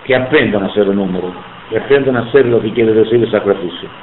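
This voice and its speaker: Older man, Italian accent, low-pitched, emphatic, tinny echoey tape. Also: quick pace, slight background noise. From Sicily, Italy. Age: 70s. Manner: emphatic, indignant about his pension. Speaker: interviewee. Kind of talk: oral history interview.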